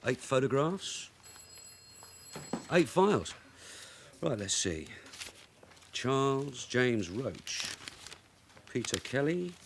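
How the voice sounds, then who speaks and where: Radio drama lead: seasoned police sergeant, dry and blunt, mid-pitched with lively intonation. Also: male voice, gravelly, slight background noise. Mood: deliberate. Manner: wry, blunt. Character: shrewd veteran London detective sergeant, dry and unflappable. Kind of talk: naturalistic radio drama acting.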